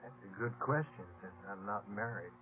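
An actor playing an excitable, rough-talking man of the Old West in a 1950s radio drama, with folksy frontier speech. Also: on the low side, normal speed, soft background music, close to an everyday voice. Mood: guarded. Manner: folksy, animated frontier talk. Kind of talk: 1950s radio western acting. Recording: tinny, noisy 1950s radio recording.

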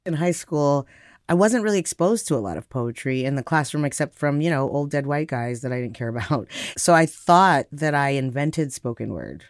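A man's voice: on the high side, expressive, normal speed, dry recording, clean studio sound. A man with an American accent telling personal stories reflectively and conversationally as an interview guest.

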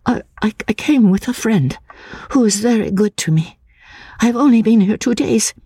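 A woman's voice: fairly high pitch, animated, normal speed, mellow, slightly rough, dry recording, voice pushed up. A woman voicing a frail, timid, childlike young woman in a classic audiobook, breathless and nervous. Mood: anxious. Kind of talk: dramatic audiobook reading of classic literature.

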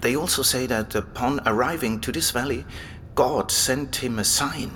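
A man's voice: mid-range voice, moderate pace, a bit bright, a little hiss or hum, close to an everyday voice. A man acting in an audio drama as an erudite, enthusiastic abbey librarian, explaining things like an eager scholar. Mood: keen explaining. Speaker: library guide and manuscript expert.

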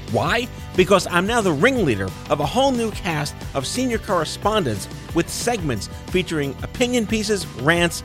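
This is a man hosting an interview podcast in a loose, joking way, swearing now and then without a second thought. His pitch swings widely through each line. A faint music bed sits under his voice. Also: fairly bright tone. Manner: irreverent, joking, swears freely. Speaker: podcast host. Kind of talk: casual interview chat.

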